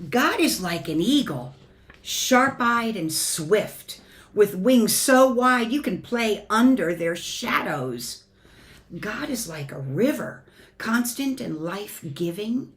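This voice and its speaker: A woman reading a children's picture book aloud in an expressive, deliberate way, as a church storyteller. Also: mid-range voice. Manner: expressive, deliberate, shifting between emphatic and hushed. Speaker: church family ministry storyteller.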